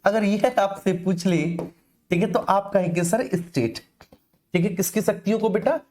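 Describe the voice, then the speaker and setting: A man's voice: sits high, moderate pace, darkish tone. A man teaching an informal live online exam class, instructing repetitively and often checking that students follow.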